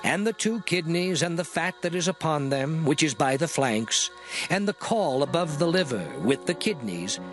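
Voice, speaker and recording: An actor playing a solemn lawgiving voice in a dramatized Bible reading, declaiming scripture. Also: male voice, on the high side. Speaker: scripture reader. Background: music under the voice.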